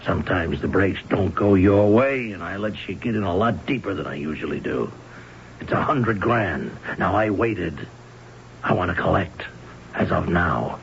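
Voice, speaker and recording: Radio drama heavy: cold loan shark, extremely low gravelly voice, blunt, unhurried, quietly threatening. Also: male voice, expressive. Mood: cold, firm. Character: cold, menacing older male bookie and loan shark. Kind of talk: 1970s radio drama acting. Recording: dull, noisy old radio recording.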